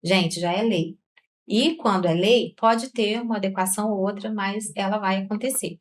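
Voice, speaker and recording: A woman co-hosts a business podcast at a middle pitch. She sounds upbeat and lively as she puts questions to her guests. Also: normal speed, slight background noise. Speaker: co-host. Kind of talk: podcast interview.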